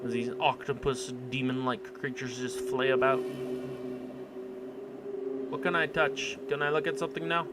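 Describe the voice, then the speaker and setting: A man's voice: flat delivery, normal speed, long pauses. A man streaming a game with casual, wry reaction commentary, muttering short interjections and swearing freely. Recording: very noisy, constant background rumble.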